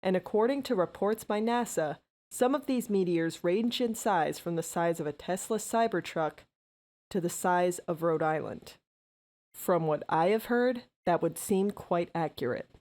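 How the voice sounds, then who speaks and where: Woman acting as a low-voiced, solemn city mayor. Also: expressive, a little slow, a little mellow. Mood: grave. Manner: formal, solemn. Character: grave, formal female city mayor addressing citizens. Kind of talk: scripted emergency address.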